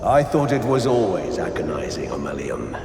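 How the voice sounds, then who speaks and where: An actor playing an earnest, idealistic and curious dark elf scholar in a video game, gentle and courteous. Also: male voice, low-pitched, very animated, normal speed, heavy background noise. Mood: matter-of-fact. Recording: echoey cavern reverb, music behind.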